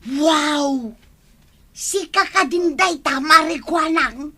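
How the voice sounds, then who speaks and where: Actress as slow, bright-voiced thrifty housewife. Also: female voice, sits high, heavily acted. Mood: curious. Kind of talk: comedy drama dialogue.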